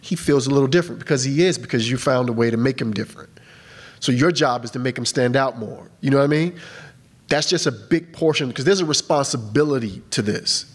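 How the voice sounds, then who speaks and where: A man's voice: mid-range voice, fairly quick, husky. A man on a film panel answering a question in a conversational, emphatic way, often checking in with "right?". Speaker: panelist, casting and film producer. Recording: long hall reverb.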